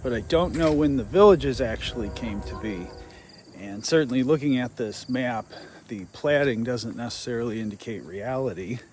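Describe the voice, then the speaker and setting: A man's voice: mid-range voice, normal speed, darkish tone, a little hiss or hum. An American man hosting a casual on-location nature vlog, narrating and explaining local history. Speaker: host of local nature exploration videos. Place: outdoors in a nature preserve.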